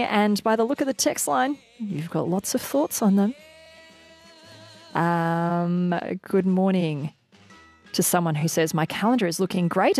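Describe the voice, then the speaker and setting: A woman's voice: mid-range voice, a little mellow. A woman hosting a morning talkback radio show, chatty and upbeat as she takes listener calls. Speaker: radio host. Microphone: studio microphone.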